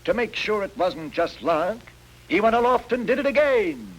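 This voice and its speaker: A man's voice: high-pitched, animated, moderate pace, low-quality recording. An actor playing a wry, playfully pompous male lecturer giving comic commentary in a 1940s training film. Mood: wry, amused. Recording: 1940s film soundtrack over music.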